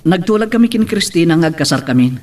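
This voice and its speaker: A man acts an earnest young Ilocano soldier and suitor in a radio-style drama. He is respectful and devoted, speaking politely with some hesitation in a slightly high male voice. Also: expressive, fast talker, a little mellow, lightly acted. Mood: respectful, glad.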